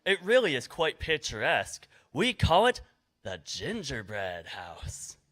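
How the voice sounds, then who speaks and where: A man's voice: mid-range voice, huge pitch swings, measured pace, bright, forceful tone, full character voice. A man playing a coaxing real estate agent, eager to sell, in a scripted fairy-tale parody. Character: smooth-selling male real estate agent, eager to please. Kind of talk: scripted stage parody sales pitch.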